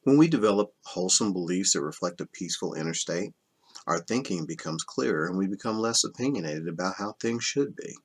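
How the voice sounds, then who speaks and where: A man reading spiritual self-help nonfiction aloud in a measured, instructional way. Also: low voice, animated, breathy edge. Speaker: reader of a self-help book.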